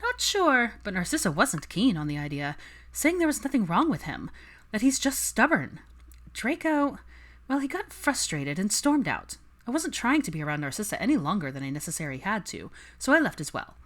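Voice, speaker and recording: A woman voicing a chipper, chatty pregnant young woman in animated, gushing audiobook dialogue. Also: medium pitch, normal speed, voice pushed up. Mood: gossipy.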